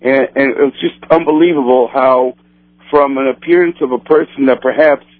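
A man's voice: mid-range voice, fairly even intonation, no echo. Guy from brazil, portuguese first language, talking over a tinny phone line, keeps restarting his sentences. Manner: halting, many restarts and fillers. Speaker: kosher supervisor rabbi. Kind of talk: radio interview answers.

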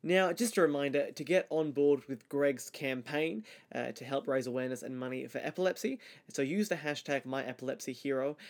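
A man's voice: mid-range voice, vocal fry. A man hosting a podcast in a polite, formal presenter style. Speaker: podcast host. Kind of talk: scripted-sounding podcast hosting.

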